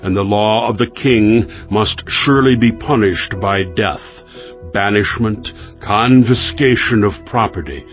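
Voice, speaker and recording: A man playing a stern royal authority issuing a decree in a dramatized scripture audiobook, speaking deliberately and declaiming. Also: lowish voice, close to an everyday voice. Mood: stern, commanding. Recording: dull audio under background music.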